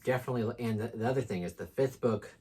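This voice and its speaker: A man's voice: medium pitch, rapid-fire, warm tone, breathy edge. A man co-hosting a casual book chat with informal, slangy, enthusiastic talk. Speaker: book channel co-host.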